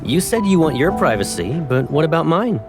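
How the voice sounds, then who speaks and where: A man's voice: on the high side, big pitch swings, fairly quick. Brief: male actor as young pilot, affectionate childhood friend who teases, audio drama read over prominent music. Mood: teasing, affectionate. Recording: loud music bed behind voice.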